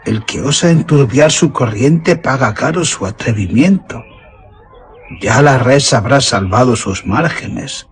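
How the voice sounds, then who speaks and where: A man's voice: mid-range voice, expressive, unhurried, slight background noise, lightly acted. Actor as a swooping, anxious old Castilian huntsman. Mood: fearful warning. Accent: Castilian Spanish. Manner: solemn, archaic, theatrical diction. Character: old loyal huntsman servant, superstitious and anxious. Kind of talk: suspense radio drama acting.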